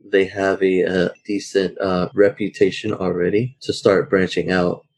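A man's voice: low-pitched, flat delivery, a little slow, darkish tone. A man co-hosting a casual podcast, talking in a thoughtful, hesitant way. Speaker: podcast co-host.

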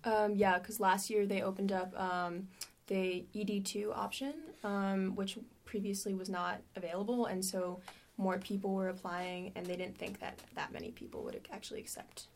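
Young female student reporter, mid-pitched, scripted reading dotted with fillers. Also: level tone, moderate pace. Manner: reads with frequent "um" fillers. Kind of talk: reading a news item. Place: recording booth.

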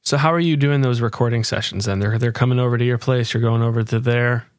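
A man with a medium-pitched voice hosts a podcast interview. His questions are casual and curious, phrased a little tentatively. The sound is close and dry, with no room echo. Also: fairly even intonation, quick pace, clear, resonant tone.

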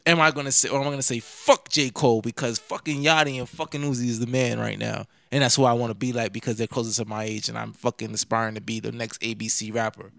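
A man's voice: medium pitch, level tone, fast, gravelly, hardly any pauses, fairly bright tone, dry recording. A nineteen-year-old man co-hosting a podcast, arguing emphatically and swearing in casual chat. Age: late teens. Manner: argumentative, profane, emphatic. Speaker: podcast co-host.

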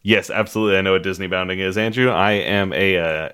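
A man with a booming, loud voice co-hosting a podcast in casual, jokey, profane and animated chat. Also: fast, smooth. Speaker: podcast co-host.

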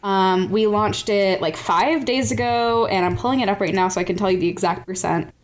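A woman co-hosting a casual podcast, chatting enthusiastically and laughing often, recorded on a mic with the gain set too high. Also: moderate pace, slight background noise. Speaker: podcast co-host. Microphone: gain set too high, sounds blown out.

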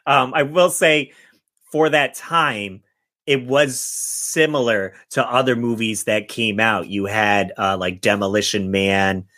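Male podcast host, low voice, informal rambling movie chat. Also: steady tone, slow, pushed and bright. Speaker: podcast host running a guessing game.

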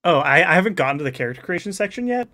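A man's voice: fairly high pitch, sing-song, very fast, sharp tone. A man chatting casually in an upbeat, enthusiastic way, like a host talking with friends. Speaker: podcast host and game master. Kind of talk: casual chat.

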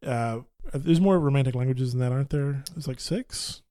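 A man's voice: medium pitch, normal speed, mellow, clear, resonant tone. A man co-hosting a casual podcast, explaining ideas at length while hedging that he is no expert. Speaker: podcast co-host. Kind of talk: casual chat.

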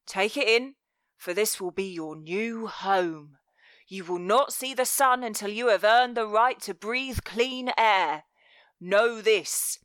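A menacing master of a thieves' guild, acted out by a woman reading an audiobook, speaks slowly and with total command. He is cruel and deliberate, coating threats in mock tenderness. The sound is dry, with no room around it. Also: mid-range voice, fairly bright tone, dry recording. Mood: stern, menacing. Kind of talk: dramatic audiobook character voice.

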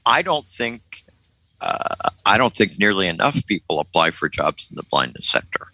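A man's voice: low-pitched, very animated, normal speed, pushed and bright, no echo, low-quality recording. An older man hosting a casual group talk, often repeating words as he starts a thought. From Florida.

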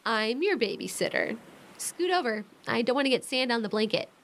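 A protective young American big sister, acted by a woman in a modern audio drama. Her voice sits high and swings animatedly, quick to flare up and full of devotion. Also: lively intonation, a bit bright. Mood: brisk, protective.

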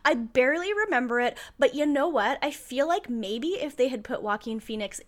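A woman co-hosting a casual podcast chat, animated and laughing often, swearing now and then. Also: sits high, moderate pace. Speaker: podcast co-host. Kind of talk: casual chat about movies.

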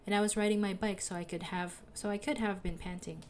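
A young woman with a soothing voice, calmly narrating a personal audio diary as a podcaster. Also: medium pitch, fast talker, slight background noise. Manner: calm, reflective, confessional.

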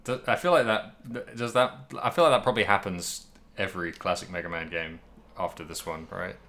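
Low, bright-voiced man chatting casually. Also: brisk, a little hiss or hum. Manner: rambling, jokey, laughs often. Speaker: podcast co-host. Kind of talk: casual video game podcast chat.

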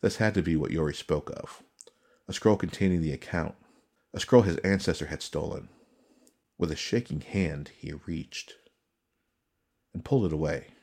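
Deep gravelly man narrating dark fantasy. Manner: solemn, measured, suspenseful. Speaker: audiobook narrator. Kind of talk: dramatic scripted fantasy narration.

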